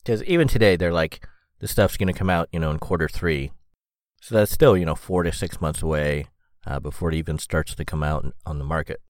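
A man hosting a solo podcast, talking in a rambling, halting way full of fillers, with a sniffly cold and a slightly rough throat. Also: very deep voice, no room sound, studio quality. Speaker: podcast host. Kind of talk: casual solo podcast monologue.

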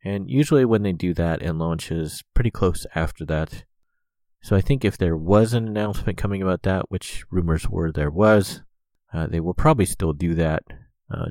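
A man's voice: low voice, darkish tone, no echo. A young man hosting a rambling solo podcast, stuffed up with a cold, filling his sentences with lots of "uh". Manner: rambling, many "uh" fillers. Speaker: podcast host.

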